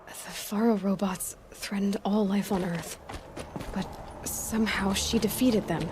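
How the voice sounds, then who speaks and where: Determined young woman actress, medium-pitched game heroine. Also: steady tone, measured pace, darkish tone, a little hiss or hum, lightly acted. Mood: pensive. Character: determined, searching young huntress, wry and defiant. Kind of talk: video game cutscene acting.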